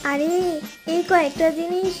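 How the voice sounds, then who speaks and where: A woman's voice: extremely high pitch, fairly even intonation, moderate pace, faint music behind. A woman voicing a young, chatty, bossy and scheming fox wife in a cartoon, with exaggerated, sing-song delivery. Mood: sly, coaxing. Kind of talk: Bengali cartoon voice acting.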